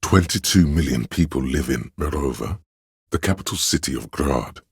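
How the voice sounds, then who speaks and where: An erudite inner voice from a video game, pitched extremely low. The sound is full of gravel and very husky rasp. He delivers facts in a deliberate, dryly pedantic way, as if addressing the player directly. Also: expressive, dry recording, clean studio sound, full character voice. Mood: measured, knowing. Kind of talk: measured game narration addressing the listener.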